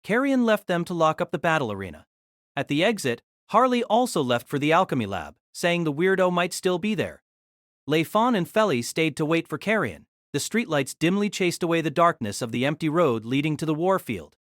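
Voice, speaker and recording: An audio drama narrator who reads a novel with lively storytelling. The voice rises and falls expressively and sounds dry and close to the mic. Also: medium pitch, brisk, no echo.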